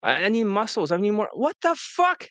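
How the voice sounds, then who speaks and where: A brash man running his dating-coaching talk with loud profanity and scorn for his followers. His voice sits a bit high, recorded close and dry with no room sound. He often lurches into shrill mocking impressions of students. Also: sing-song, moderate pace, dry recording. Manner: brash, profane, mocks students in high-pitched quoted whines. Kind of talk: blunt promotional coaching talk.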